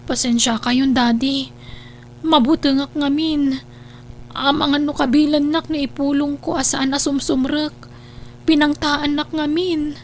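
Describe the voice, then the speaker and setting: Lady playing a high-pitched nagging young sister, earnest and worried, radio drama, bit of hum. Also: fairly even intonation, measured pace, pauses often. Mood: anxious. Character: dutiful, worried young student, nagging her brother. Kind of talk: Ilocano radio drama acting.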